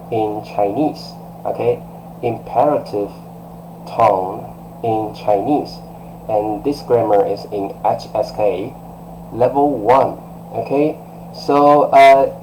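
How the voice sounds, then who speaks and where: A man's voice: mid-range voice, noisy background. A man in his thirties giving a live language lesson from a small room, patient and repetitive, with lots of okays and uhs. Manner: patient, repetitive, fillers like okay and uh. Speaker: Chinese teacher. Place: small room by a curtained window. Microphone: webcam close-up.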